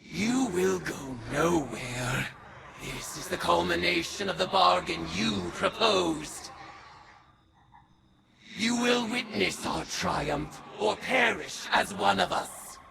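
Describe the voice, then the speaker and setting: A woman voicing a grim, vengeful mystic turned dark god in a video game, speaking in solemn, archaic incantations. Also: fairly low pitch, slow, gravelly, heavy background noise, full character voice. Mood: imperious threat. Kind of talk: video game character acting.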